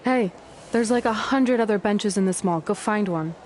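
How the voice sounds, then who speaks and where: A woman's voice: mid-range voice, moderate pace, a little mellow, close to an everyday voice. Woman acting young female wrestler, no-nonsense, exasperated, dry sarcasm, scripted drama, faint background noise. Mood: irritated. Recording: noisy location sound with ambience.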